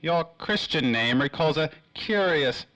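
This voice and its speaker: An actor playing a pompous, theatrical gentleman villain in an old-time radio play, florid and drawn-out. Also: a little high, animated. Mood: smug.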